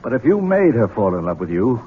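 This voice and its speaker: Actor as a stern accuser, animated intonation. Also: male voice, medium pitch, brisk, close to an everyday voice. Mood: accusing. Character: stern, accusing man pressing for a confession. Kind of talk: 1970s radio drama acting. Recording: muffled, noisy 1970s radio recording.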